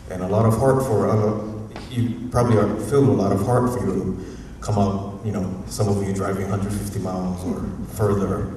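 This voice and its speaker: A man's voice: fairly low pitch, mellow. Man with a Hawaiian accent in an echoey hall. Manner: informal, rambling, chuckling often. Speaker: university professor, speaker. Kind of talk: unscripted talk at a community forum. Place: museum auditorium. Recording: echoey hall, distant mic, faint noise.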